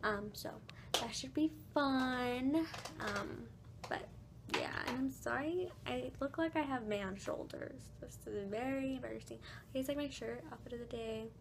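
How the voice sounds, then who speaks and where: Raspy young woman vlogging on a phone. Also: fairly high pitch, moderate pace, noisy background. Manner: chatty and apologetic, many ums and likes. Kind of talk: casual vlog chat. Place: bedroom at home. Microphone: phone held at arm's length.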